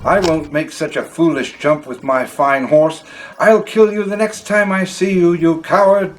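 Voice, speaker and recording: A man voicing a haughty British cavalry officer who talks down to everyone in a smooth, condescending way. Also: fairly high pitch, steady tone, measured pace, a little hiss or hum, voice pushed up, close to an everyday voice. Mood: scornful. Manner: pompous, condescending, smooth-talking.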